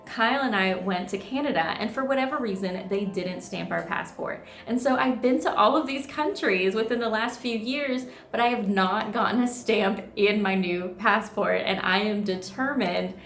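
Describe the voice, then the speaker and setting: A woman's voice: medium pitch, normal speed, hardly any pauses, fairly bright tone. Bubbly thirty-year-old woman vlogger. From United States. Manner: bubbly, chatty and upbeat. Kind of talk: casual vlog chat. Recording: echoey room with faint music.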